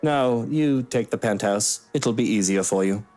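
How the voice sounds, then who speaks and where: An actor playing an aloof, sulky husband in a visual novel, with a sardonic delivery. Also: male voice, lowish voice, unhurried, a little mellow, natural voice. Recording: music behind the voice.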